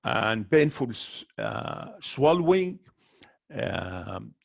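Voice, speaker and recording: A man's voice: fairly low pitch, very animated, extremely slow, vocal fry. A man, an ENT doctor, giving a medical webinar lecture, listing symptoms one by one with careful restarts.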